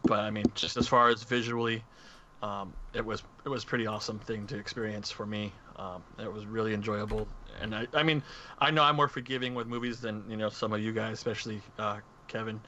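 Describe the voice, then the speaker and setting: Male podcast panelist, casual and meandering, lowish bright voice, slightly dull recording with faint noise. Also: steady tone, brisk. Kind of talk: casual movie discussion.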